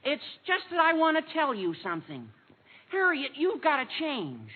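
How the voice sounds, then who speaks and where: A performer playing an excitable, gawky teenage schoolboy with gee-whiz earnestness in a vintage radio comedy. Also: sits high, moderate pace. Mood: earnest, dazed. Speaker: actor in radio sitcom. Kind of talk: 1940s radio comedy acting. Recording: muffled old radio, faint noise.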